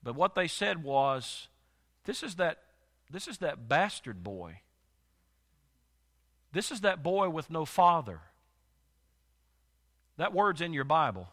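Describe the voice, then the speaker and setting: Congested male pastor, high and bright, dramatic soft-to-loud sermon swings. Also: in a large hall. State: congested, voice nearly gone.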